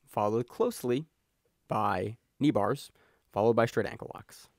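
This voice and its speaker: A man's voice: lowish voice, measured pace, no echo. A man co-hosting a podcast in casual chat, joking and talking over his co-host, recorded on a close mic.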